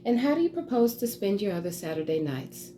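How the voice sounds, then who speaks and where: A woman's voice: medium pitch, sing-song, fairly quick, mellow, slight background noise, close to an everyday voice. A woman playing a stern, imperious society mother lecturing her daughter in a theatrical monologue, with clipped emphasis. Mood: stern, scolding.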